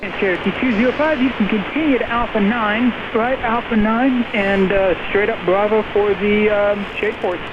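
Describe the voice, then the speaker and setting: A high-pitched man speaks as a tower controller over a noisy aviation radio. He gives clipped, procedural instructions, with aircraft noise behind him. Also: fairly even intonation, moderate pace, few pauses, dull audio. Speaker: air traffic controller. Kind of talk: radio air traffic instructions. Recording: noisy air traffic radio, aircraft ambience.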